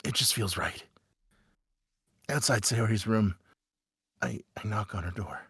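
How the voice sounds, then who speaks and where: A man playing an anguished teenage schoolboy who narrates a visual novel fan dub in a halting, emotionally raw way. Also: fairly low pitch, a bit bright, breathy edge, dry recording, studio quality, exaggerated voice. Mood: hesitant, uneasy.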